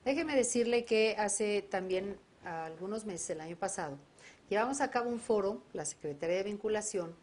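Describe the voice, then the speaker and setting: A woman's voice: mid-range voice, lively intonation, normal speed, darkish tone. Mexican woman host in her fifties, conversational, speaks to camera, mid-range voice. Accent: Mexican Spanish. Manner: conversational, addresses viewers directly. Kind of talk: televised discussion.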